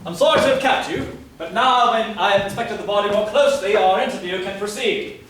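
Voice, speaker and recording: A man playing a formal, pompous police inspector in a comic murder-mystery stage reading, with a deliberate theatrical delivery. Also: high voice, fairly bright tone. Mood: commanding. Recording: distant echoey room, faint noise.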